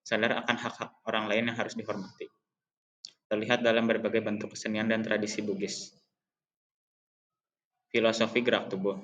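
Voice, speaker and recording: A young man, a high school student, reading a school presentation aloud as an even, list-like recitation with a few slips and restarts. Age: young adult.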